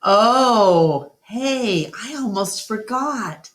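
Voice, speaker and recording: A woman's voice: a little high, lively intonation, drawn-out words, pitched above the performer's own voice. A woman voicing an eager, friendly young girl with a casual, childlike delivery in a children's storybook. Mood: breezy. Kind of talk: children's storybook read-aloud.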